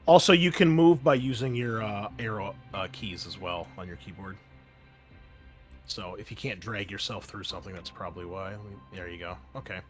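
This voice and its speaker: A man narrating a tabletop roleplaying game as game master in a casual, conversational, halting way. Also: on the low side, soft background music.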